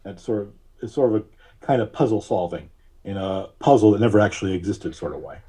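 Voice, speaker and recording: A man's voice: lowish voice, a little fast, dark tone, husky. A man chatting casually as a guest in a podcast interview.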